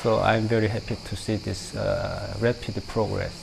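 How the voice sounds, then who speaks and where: Gravelly, hesitant middle-aged man. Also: fairly low pitch, flat delivery, normal speed, a little hiss or hum, poor audio. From Korea. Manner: hesitant, many fillers. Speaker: research center representative. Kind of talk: interview. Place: indoors, stone-walled room.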